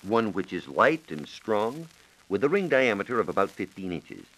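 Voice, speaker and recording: An American man giving measured, precise instructions as a science reporter on a scripted 1940s radio program. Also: fairly low pitch, very animated, fairly bright tone, rough recording. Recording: 1940s radio broadcast recording.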